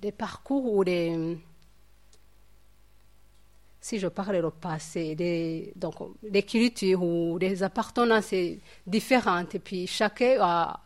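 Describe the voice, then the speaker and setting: A woman's voice: on the low side, slow. Hesitant Rwandan-born woman teaching scripture. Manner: hesitant, many fillers and repeated words. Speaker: Bible study leader. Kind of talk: informal Bible teaching.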